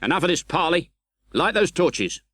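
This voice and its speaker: Man acting a barking, high-pitched English army sergeant. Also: very animated, normal speed, a bit bright, no echo, studio quality. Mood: brisk, stern. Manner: barking, peremptory orders. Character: commanding army sergeant, brisk, gruff authority.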